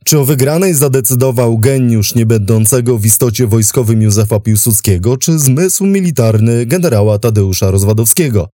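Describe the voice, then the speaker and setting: A man hosting a history podcast, delivering scripted documentary narration in a measured, dramatic storytelling manner. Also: medium pitch, lively intonation, mellow, no room sound, studio quality.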